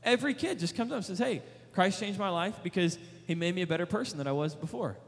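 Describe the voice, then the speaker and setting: A man delivers a sermon with emphatic, repeated rhetorical questions. His voice sits high for a man. The sound rings with the long reverb of a large hall. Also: expressive, fast. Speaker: youth preacher.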